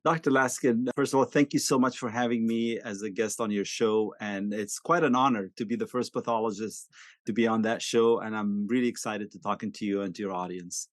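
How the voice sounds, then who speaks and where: Earnest lower-voiced man from Egypt. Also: steady tone, fairly quick, dry recording, clean studio sound. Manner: earnest, explanatory, repeats words when starting. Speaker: guest, pathologist. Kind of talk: video-call interview. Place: home office with bookshelves.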